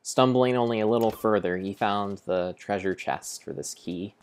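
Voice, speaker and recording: A young man with a very deep voice narrates gameplay as if reading a heroic storybook. His delivery is deliberate and halting, with mock-grand phrasing made up as he goes. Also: clean tone. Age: young adult. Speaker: game streamer. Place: indoor room at home.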